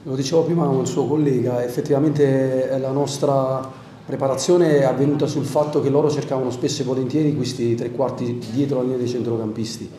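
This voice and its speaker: A man in his forties, an assistant football coach, answering questions at a post-match press conference into a desk microphone, measured and thinking aloud with many fillers. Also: mid-range voice, fairly even intonation, warm tone. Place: press room in front of a sponsor wall. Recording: roomy press room with crowd noise.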